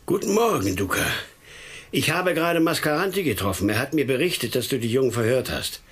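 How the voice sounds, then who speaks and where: A man playing a concerned, sceptical police superior in a radio crime drama, questioning and expressive in his delivery. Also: medium pitch, a little fast, husky, faint music behind. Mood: admonishing.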